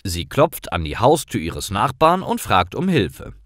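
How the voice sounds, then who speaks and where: A man reading simple scripted stories aloud in a clear, deliberate manner, as a storyteller for language learners. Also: lowish voice, huge pitch swings, fairly bright tone, no room sound, studio quality.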